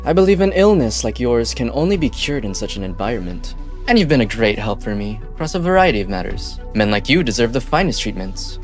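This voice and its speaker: A man playing a smooth-talking, scheming corporate businessman with a theatrical, coaxing manner in an amateur game dub. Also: mid-range voice, sing-song, a little fast, close to an everyday voice. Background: background music.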